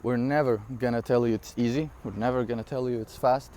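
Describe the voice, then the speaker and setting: A man in his twenties from Syria, for whom English is not his first language, giving an energetic, rambling business pitch straight to camera with frequent fillers and laughs. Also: fairly quick, slight background noise. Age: 20s. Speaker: online business coach. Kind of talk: casual direct-to-camera talk.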